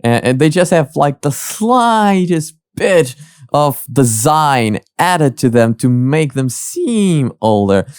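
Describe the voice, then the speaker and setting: A man giving casual, halting reaction commentary as a video host, often rephrasing as he goes. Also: a little high, very animated, darkish tone, clean tone, dry recording, clean studio sound. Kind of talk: casual commentary on a cartoon parody.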